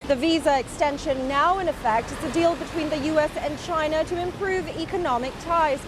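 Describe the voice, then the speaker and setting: A woman's voice: sits high, brisk. A woman delivering broadcast business news as a reporter, in a polished, emphatic manner. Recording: music under voice, some location noise.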